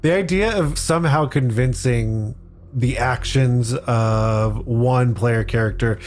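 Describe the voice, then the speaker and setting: A man with a mid-pitched voice talks casually. He is opinionated and makes his points with dry sarcasm. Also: slow, darkish tone, soft background music. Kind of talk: casual tabletop game discussion.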